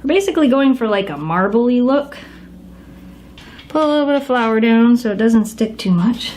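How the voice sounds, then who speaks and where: Woman, fairly high and animated, casual kitchen host who chats with her dogs mid-recipe. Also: moderate pace, slight background noise. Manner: chatty, talks to her dogs while she works. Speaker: home cook demonstrating a recipe. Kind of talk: casual how-to cooking video.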